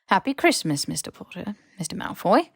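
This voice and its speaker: A woman voicing a stern, shrewd older school headmistress in an audiobook, with crisp, formal phrasing and dry exasperation. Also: fairly low pitch, sing-song, fairly quick, no room sound, exaggerated voice. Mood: dry, reproving. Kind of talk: audiobook character voice.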